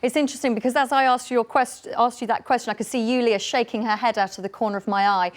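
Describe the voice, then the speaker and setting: A woman with a British accent hosting a radio phone-in, brisk and inviting. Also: on the high side, steady tone. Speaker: radio host.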